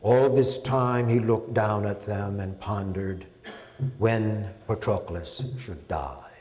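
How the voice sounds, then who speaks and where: A man in his sixties giving a solemn, deliberate dramatic recital of epic poetry in a hall. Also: fairly low pitch, darkish tone. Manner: measured, deliberate, solemn phrasing. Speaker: professor and translator reading. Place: indoor hall, public reading. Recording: echoey hall with long reverb.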